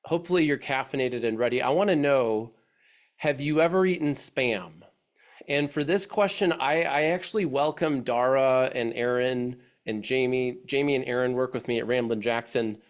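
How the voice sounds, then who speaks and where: A congested man with a stuffy nose, speaking at a middling pitch into a video call mic. He presents a webinar in a loose, chatty way, restarting sentences and stacking fillers. He comes across as a friendly, easygoing presenter. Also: moderate pace. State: congested from allergies. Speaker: webinar presenter, agency head. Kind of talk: casual, rambling webinar presentation.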